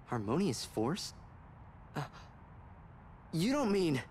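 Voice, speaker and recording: A man's voice: fairly high pitch, huge pitch swings, slow pace, a little hiss or hum, heavily acted. A man playing a youthful, defiant hero in a video game cutscene. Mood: shocked. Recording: echoey reverberant hall, loud music.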